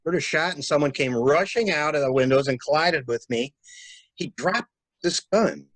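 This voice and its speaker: A man acting a brisk, take-charge stranded traveler in a dramatic mystery reading. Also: a little high, animated, a bit bright, dry recording. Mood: shaken. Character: male stranded traveler, brisk, commanding, takes charge at crime scene. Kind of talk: dramatic reading of a stage-style murder mystery.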